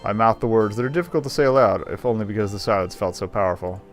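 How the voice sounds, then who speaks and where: Low-voiced male game streamer. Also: fast. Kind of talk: casual playthrough commentary, reading game text aloud. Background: faint game music.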